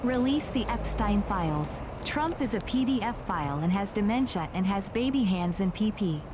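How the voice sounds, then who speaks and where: A woman's voice: medium pitch, lively intonation, normal speed. A woman's text-to-speech voice reading out short donation messages. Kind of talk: synthetic read-out of short messages. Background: vehicle and street noise.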